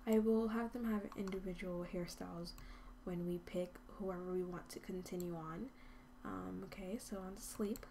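A woman's voice: lowish voice, fairly even intonation, moderate pace, creaky, background noise. Chatty woman rambling over gameplay. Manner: chatty, rambling with many ums, often drops to a whisper. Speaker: gaming channel host. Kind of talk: casual let's-play commentary.

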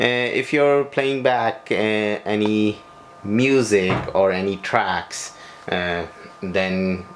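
Low-pitched man, slow halting explainer. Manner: halting, broken phrasing with frequent hesitations. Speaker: tutorial presenter. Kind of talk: hands-on equipment tutorial, unscripted explanation. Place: small bedroom, indoors. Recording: home video with noticeable background noise.

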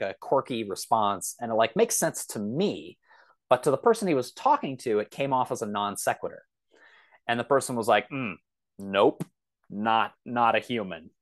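Male podcast guest, thirties, mid-pitched, conversational explainer who hedges and backtracks. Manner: rambling, self-correcting, hedging.

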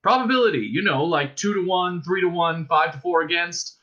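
A man voicing an excitable, exasperated space traveller in a storybook, yelling and insistent with brisk indignation. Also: sits high, lo-fi sound, pitched above the performer's own voice. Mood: agitated.

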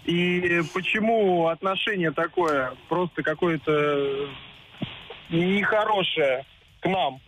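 Man playing an aggrieved, high-voiced star goalkeeper. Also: slow pace, fairly bright tone, a little hiss or hum, low-quality recording. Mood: reproachful. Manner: argumentative, sarcastic, needling. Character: aggrieved, proud star male national team goalkeeper. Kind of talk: improvised comic radio sketch.

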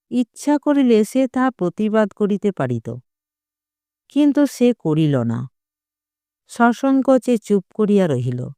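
Audiobook narrator for literary fiction: unhurried, smooth and clear, measured dramatic delivery. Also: mid-range voice, warm tone, no room sound, studio quality. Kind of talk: expressive literary short-story narration.

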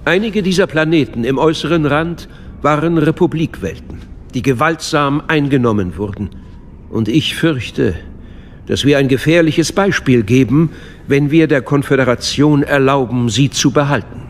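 A man performs an older galactic chancellor for a radio drama. He is measured and courtly, with an unctuous, fatherly manner that hides a schemer. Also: a little high, slight background noise, natural voice. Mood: courtly, calculating. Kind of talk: German radio drama acting.

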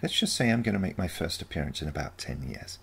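Storybook granddaughter from the future, read by a man: young, breezy, naive, with a lightly creaky edge. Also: a bass voice, very animated, fast talker, a bit bright, exaggerated voice. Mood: matter-of-fact.

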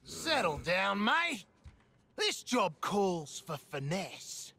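Cocky Australian male thief for a game: gravelly, drawn-out, crude, slight background noise. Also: high voice, sing-song, pushed and bright, heavily acted. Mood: smug. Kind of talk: video game voice acting.

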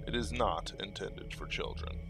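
Male announcer, low-pitched, very slow scripted intro read, loud music underneath. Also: poor audio. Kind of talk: scripted podcast disclaimer.

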